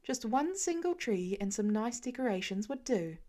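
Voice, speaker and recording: A woman voicing a calm, patient grown woman in a fan audiobook reading, speaking evenly with a dry edge. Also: fairly high pitch, moderate pace.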